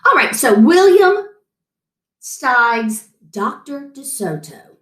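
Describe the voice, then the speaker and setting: A middle-aged woman reading a picture book aloud to children in an expressive story-time style. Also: sits high, slow. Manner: animated, chatting to an unseen guest between lines. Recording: roomy echo.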